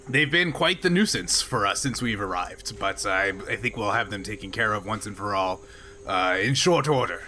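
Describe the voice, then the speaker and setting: A man voicing a gruff older Klingon miner in a tabletop roleplay, blunt, matter-of-fact and unbothered. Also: mid-range voice, pushed and bright, natural voice. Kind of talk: improvised tabletop roleplay acting.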